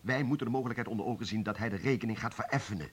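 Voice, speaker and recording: An actor playing a stubborn, suspicious communist official in a radio play, husky and forcefully argumentative. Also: male voice, lowish voice, rapid-fire. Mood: insistent.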